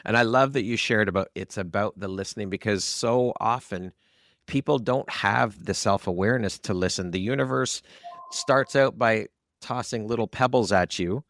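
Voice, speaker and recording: A man's voice: lowish voice, normal speed, fairly bright tone, no echo. A man speaking as an upbeat, encouraging podcast host. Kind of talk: upbeat scripted intros and interview talk.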